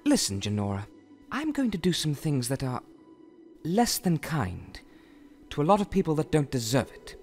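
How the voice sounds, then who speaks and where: A man acts a courteous, conflicted young man in a cartoon parody. The mid-range voice rises and falls freely in formal, halting speech over faint music. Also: fairly high pitch, huge pitch swings, a little mellow. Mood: solemn. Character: polite, conflicted young man bidding awkward farewell.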